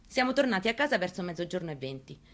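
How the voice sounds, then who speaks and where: A woman's voice: lowish voice, very animated, fast, fairly bright tone. A woman performing a dramatic monologue reading as a haunted, plainspoken mother recounting her story. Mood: plain recollection. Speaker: actress in a staged reading.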